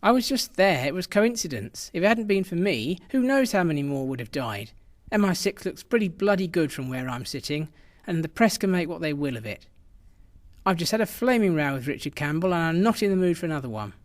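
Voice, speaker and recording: A man's voice: a little high, big pitch swings, a little fast. A man acting in a radio drama as a ruthless intelligence chief, brusque, assured and coolly dismissive. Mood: irritated, defiant.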